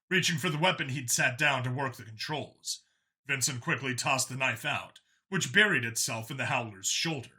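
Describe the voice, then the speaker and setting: A man's voice: medium pitch, sharp tone. A man narrating a science fiction action story from a script.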